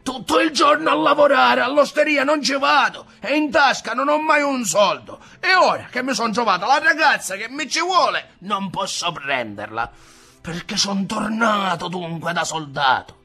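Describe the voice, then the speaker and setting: A man's voice: medium pitch, animated, normal speed, few pauses, bright, forceful tone, husky, soft background music, exaggerated voice. A man voicing a hot-tempered young man back from the army in a storybook reading. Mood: despairing.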